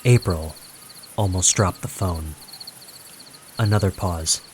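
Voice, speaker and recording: A man narrating a scripted horror story in a suspenseful, deliberate manner with dramatic pauses. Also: low voice, background noise.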